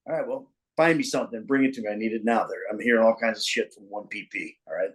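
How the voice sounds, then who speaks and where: A man playing a gruff, demanding detective squad boss on a TV show, curt and bossy with his orders. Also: on the low side, fast, pitched below the performer's own voice. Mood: curt, impatient.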